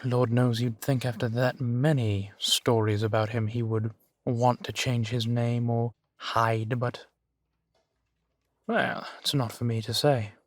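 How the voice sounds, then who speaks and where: A man acts out an ancient vampire nobleman for an intimate roleplay audio drama. He speaks very slowly in a medium-pitched male voice, genteel and amused, lingering over his pauses like a doting host. The recording is dry and close. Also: fairly even intonation, dry recording, close to an everyday voice. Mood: wry, secretive. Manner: unhurried, theatrical, savoring pauses with soft chuckles.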